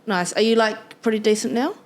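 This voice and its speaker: A young woman hosting a podcast interview, chatty and animated in her replies. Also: on the high side, fairly quick. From New Zealand. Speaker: podcast host. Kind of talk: casual interview chat.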